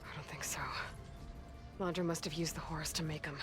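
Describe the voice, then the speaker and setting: A woman's voice: low-pitched, fairly even intonation, a little fast, music in the background, close to an everyday voice. A woman playing a determined, wry young warrior heroine in a video game, speaking in an earnest, purposeful way. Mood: focused urgency. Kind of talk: naturalistic video game dialogue acting.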